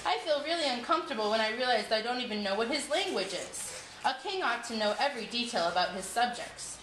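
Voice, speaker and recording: A woman's voice: fairly high pitch, expressive, brisk, a bit bright. A woman voicing a curious, uneasy king in a storybook tale, questioning insistently. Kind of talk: storybook character voice. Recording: echoey room with clear background noise.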